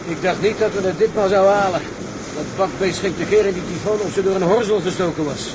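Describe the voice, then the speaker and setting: Man acting a high-voiced wry ship's mate. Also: quick pace, background noise, lightly acted. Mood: wry, concerned. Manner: wry, colourful seafaring talk. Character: wry, sceptical ship's mate, older-brotherly confidant. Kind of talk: radio drama acting.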